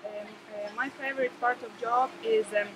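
A woman in her twenties with a Ukrainian accent, giving a halting testimonial. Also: a little high, fairly even intonation. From Lviv region, Ukraine. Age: 20s. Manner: hesitant, halting. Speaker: lifeguard. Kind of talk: on-camera testimonial. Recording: noisy outdoor pool area.

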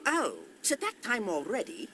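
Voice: mid-range voice, huge pitch swings, moderate pace, bright, forceful tone, a little hiss or hum, full character voice. An actor playing a formal, precise and courteous castle steward in a video game. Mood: prim, courteous. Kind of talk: scripted video game acting.

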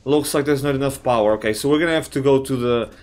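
A man, slightly raspy from just waking up, giving casual let's-play commentary on a horror game, swearing often and thinking out loud. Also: medium pitch, fast talker. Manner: swears often, thinks aloud, reacts with surprise. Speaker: gamer playing a horror game.

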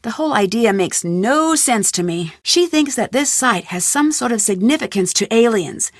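A woman's voice: a little high, lively intonation, moderate pace, no room sound. An actress voicing a curious, wry young female amateur detective in a video game, with dry asides. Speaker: game protagonist. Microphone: close studio mic.

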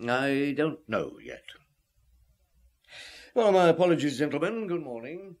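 An actor playing a shrewd, laconic police chief inspector who probes doggedly in a radio drama. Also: male voice, a little high, very animated, a little slow. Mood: dry, polite. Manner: laconic, probing, murmured grunts. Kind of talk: vintage radio drama acting.

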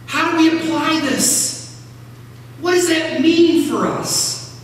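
A middle-aged American man preaching a sermon as a pastor, phrasing emphatically and deliberately with dramatic pauses. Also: very high-pitched. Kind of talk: sermon from a pulpit. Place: large church sanctuary, at pulpit. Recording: very echoey church hall, distant mic, noisy.

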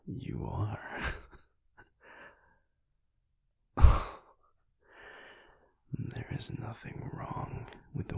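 A young man playing a big, bashful, affectionate werewolf boyfriend in an intimate audio roleplay, speaking softly and haltingly in a husky near-whisper. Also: on the low side, dry recording, exaggerated voice. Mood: bashful, teasing. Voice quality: breathy, husky, gravelly. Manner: halting, soft, hesitant with small chuckles.

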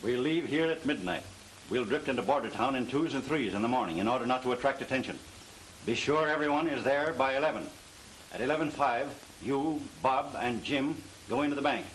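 An actor playing a suspicious, commanding outlaw boss in an old western film, giving terse, businesslike orders. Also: male voice, mid-range voice, a little fast, close to an everyday voice, low-quality recording. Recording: hissy old film soundtrack.

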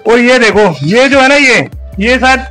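Male food vlogger, high forceful voice, enthusiastic and repetitive walk-through commentary, music underneath. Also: normal speed. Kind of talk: casual on-location restaurant tour commentary. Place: inside a busy restaurant and its kitchen. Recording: music under location speech.

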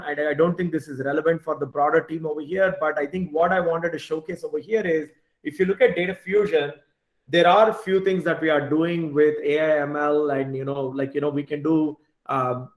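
Man presenting in an echoey room. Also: fairly high pitch. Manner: repeats and restarts words, frequent "you know" asides. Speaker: tech team lead presenting at an online meetup. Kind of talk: informal slide presentation. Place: bright room at home or small office, indoors. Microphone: computer mic on a video call. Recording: echoey room on video call.

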